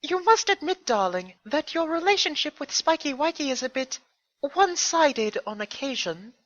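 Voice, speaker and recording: Guy doing a posh-acting drama queen girl unicorn, high piercing voice, drawly, fanfic reading, kinda lo-fi. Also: big pitch swings, normal speed, a bit bright, no room sound, heavily acted. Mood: tactful, prim. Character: prim, theatrical lady unicorn, easily scandalised. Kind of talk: fan fiction character reading.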